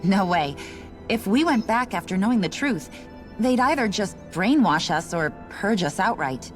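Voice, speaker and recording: An actress playing a resigned, matter-of-fact station worker in a video game, explaining things in a casual, unbothered way. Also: female voice, mid-range voice, lively intonation, normal speed, faint music behind, lightly acted. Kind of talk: video game cutscene voice acting.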